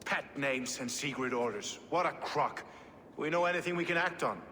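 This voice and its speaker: A man playing a blunt, cynical city guardsman in a video game, with a gruff, sardonic, colloquial delivery. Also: a little high, moderate pace, bright, forceful tone, slight background noise. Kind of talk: dramatic video game cutscene acting.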